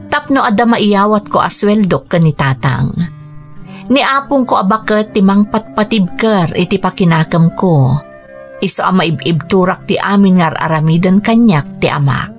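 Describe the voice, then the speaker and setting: A woman with an Ilocano accent playing a humble, devoted young grandson in a radio drama, speaking earnestly and respectfully. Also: fairly low pitch.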